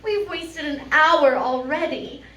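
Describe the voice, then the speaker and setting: A woman playing a frantic, frightened heroine in a live old-time radio drama, speaking in halting, stammering, melodramatic bursts. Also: high-pitched, pushed and bright, heavily acted. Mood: anxious. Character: Geraldine, frightened, frantic woman trapped on an island. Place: live venue performance, picked up from a distance. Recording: distant, echoey room with faint noise.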